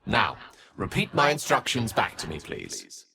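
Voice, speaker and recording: Low-voiced, urbane British wizard. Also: male voice, huge pitch swings, a little slow, gravelly, crowd noise, exaggerated voice. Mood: earnest, reflective. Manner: articulate, bookish, theatrical phrasing. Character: eloquent, urbane male wizard, wry and courteous. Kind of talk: video game character voice acting.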